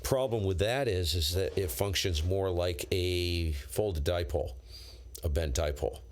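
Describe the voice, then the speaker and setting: A man with a very low voice and an American accent talks off the cuff as an amateur radio operator on a live stream. He rambles in blunt, slangy, casual phrases. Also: unhurried. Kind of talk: casual unscripted chat with viewers, on-air call signs.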